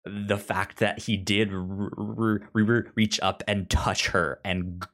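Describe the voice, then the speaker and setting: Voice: low voice, level tone, unhurried, fairly bright tone. A podcast co-host chatting casually, with a stammer that often repeats sounds and words. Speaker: podcast co-host reviewing audio drama. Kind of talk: casual chat.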